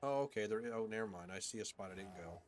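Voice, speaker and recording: A man's voice: lowish voice, fast. A man streaming a video game, muttering to himself in casual commentary as he searches around, mildly puzzled. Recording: noisy background hiss.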